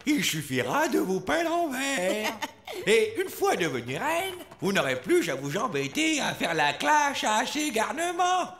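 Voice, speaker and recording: Cartoon: halting pompous suitor, squeaky and slow, echoey. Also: male voice, wildly swooping pitch, very noisy, full character voice. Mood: lovestruck. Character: pompous clumsy male suitor, lovestruck. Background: cartoon music.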